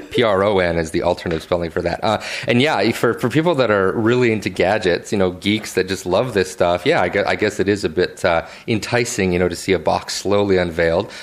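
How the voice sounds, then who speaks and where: Deep-voiced man chatting casually. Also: fairly quick, hardly any pauses. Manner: relaxed, chatty, frequent fillers and restarts. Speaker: tech video maker interviewed as a guest. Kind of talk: casual interview answers. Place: home basement office.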